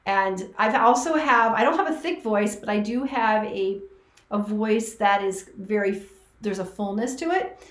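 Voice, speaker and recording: A woman's voice: mid-range voice, measured pace. A middle-aged woman, a voice teacher, reacting to an old clip in chatty commentary that restarts and corrects itself. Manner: chatty, self-correcting, emphatic. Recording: echoey room.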